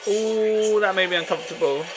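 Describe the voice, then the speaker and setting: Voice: medium pitch, a little fast, music in the background. Chatty reactor over TV soundtrack. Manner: exclamatory, informal, chatty. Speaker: reaction video host. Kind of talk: casual reaction commentary. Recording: TV show soundtrack playing behind.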